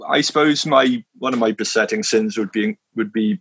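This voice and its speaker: A man's voice: on the low side, moderate pace, a bit bright, husky. A man raised in Wales, a minister, talking casually and hesitantly, with frequent restarts and small laughs. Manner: hesitant, many restarts, self-deprecating laughs. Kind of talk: casual conversation. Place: London.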